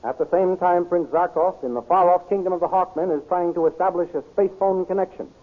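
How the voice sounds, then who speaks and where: A man's voice: high-pitched, a little fast. A man with an American accent announcing and narrating an old radio serial in a dramatic, emphatic manner. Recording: tinny, noisy old radio recording.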